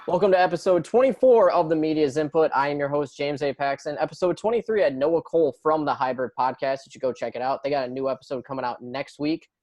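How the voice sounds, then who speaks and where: A man's voice: on the high side, quick pace, hardly any pauses, a little mellow, no echo, dull audio. A man hosting a conversational interview podcast, speaking close to the mic. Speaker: podcast host.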